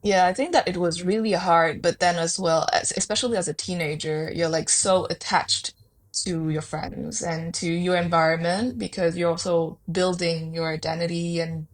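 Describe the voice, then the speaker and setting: A young woman from Vietnam in a casual interview, telling her story in a chatty flow. Her voice sits a little low, and she often pauses on fillers and corrects herself mid-sentence. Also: fairly even intonation. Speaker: guest, consultant.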